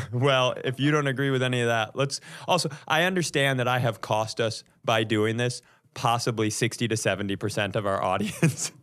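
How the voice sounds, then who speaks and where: A man's voice: medium pitch, steady tone, moderate pace, fairly bright tone. A man hosting a comedy podcast with casual, crude joking banter and a chuckling delivery.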